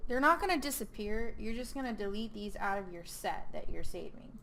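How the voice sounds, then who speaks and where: A woman hosting a screen-recorded software tutorial, talking casually with many ums and self-corrections, her voice a little hoarse. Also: medium pitch, expressive, a little fast, slight background noise. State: losing her voice. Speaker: host.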